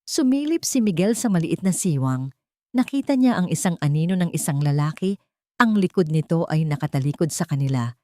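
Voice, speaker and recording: A woman's voice: lowish voice, lively intonation, fairly quick, dark tone, no room sound. A woman with a Filipino accent narrating a scripted drama in a suspenseful, theatrical way. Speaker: story narrator.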